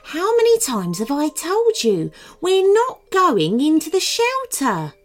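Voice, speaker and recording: A woman voicing a quick, sharp-tongued male street cat who sounds exasperated. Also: high-pitched, wildly swooping pitch, soft background music, pitched above the performer's own voice, heavily acted.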